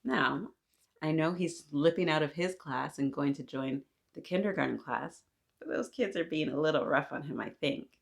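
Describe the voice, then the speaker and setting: Female storytime host, slightly low voice, expressive storytelling with chatty asides for young children. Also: moderate pace, vocal fry. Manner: animated asides to young listeners.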